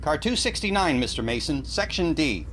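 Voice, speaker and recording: A man playing a brisk train conductor in an old-time radio drama. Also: a little high, sing-song, fairly bright tone, slight background noise. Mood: calling out.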